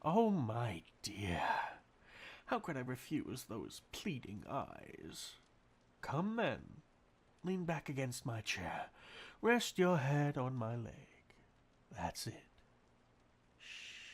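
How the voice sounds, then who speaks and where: A man performs a centuries-old vampire master for an intimate ASMR roleplay. He speaks very slowly and breathily, slipping into whispers, with wide swings in pitch. His manner is sadistic, possessive and condescending, a soft menace throughout. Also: big pitch swings, slightly rough, exaggerated voice. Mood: possessive, soothing.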